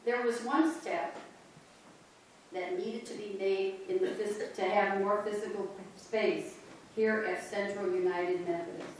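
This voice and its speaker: A woman tells a story in an unhurried, measured way. Her voice is recorded from a distance and rings through a large, reverberant church sanctuary. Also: mid-range voice, fairly even intonation, slight background noise. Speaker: narrator. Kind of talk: reader's theater narration.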